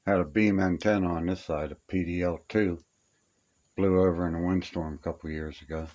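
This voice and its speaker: A man's voice: sits low, fairly even intonation, fairly quick, a little mellow, lo-fi sound. An older man chatting casually and reminiscing in a plainspoken, folksy way, with homespun grammar. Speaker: radio hobbyist showing his collection. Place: small upstairs room being stripped to studs.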